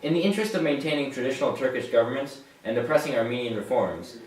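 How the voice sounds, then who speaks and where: A man's voice: medium pitch, lively intonation, quick pace. A young man reading a scripted news report as a student anchor. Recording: echoey room, distant mic.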